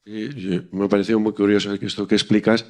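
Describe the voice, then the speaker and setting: A man from Catalonia speaking earnestly in a political discussion, often pausing to search for his words. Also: lowish voice, level tone, warm tone. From Catalonia, Spain.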